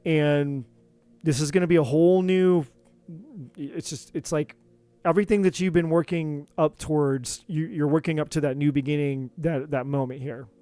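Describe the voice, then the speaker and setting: A man doing a live tarot reading, talking in an earnest, encouraging way with many repeats, his voice going a bit hoarse. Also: a little high, steady tone, normal speed, warm tone, no echo. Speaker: tarot reader.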